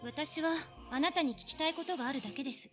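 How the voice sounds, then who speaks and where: A woman's voice: high voice, very fast, highs cut off, soft background music, close to an everyday voice. A voice actress playing a stern, no-nonsense young genius woman in a visual novel, curt and questioning. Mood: puzzled, probing. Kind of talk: Japanese visual novel voice acting.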